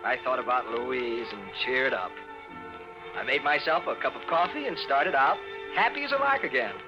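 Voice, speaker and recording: An actor playing a fussy, exasperated trailer owner with an American accent and proper wording, in 1950s radio drama style. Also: male voice, medium pitch, expressive, moderate pace, lightly acted. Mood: wry, worried. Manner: proper wording, indignant, put-upon. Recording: muffled old radio recording with music.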